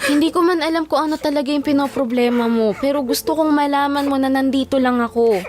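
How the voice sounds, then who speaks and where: A woman's voice: high-pitched, steady tone, fast, darkish tone, lightly acted. A woman playing an emotional, flustered young office worker with a Filipino accent in an audio drama. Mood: concerned, insistent. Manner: pleading, emotional, indignant.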